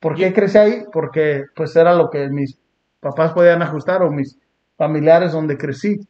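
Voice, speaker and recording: A man from Long Beach, California, hosting a podcast and talking in an earnest, reflective way with blunt street slang. He sits on a small dark indoor studio set. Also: on the high side, moderate pace. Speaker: podcast host. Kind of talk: casual reaction chat. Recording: muffled lo-fi sound.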